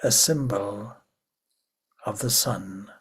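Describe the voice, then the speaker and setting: A man leading a guided meditation as a spiritual teacher, in a hushed, measured manner with long pauses and a breathy, husky voice. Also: lowish voice.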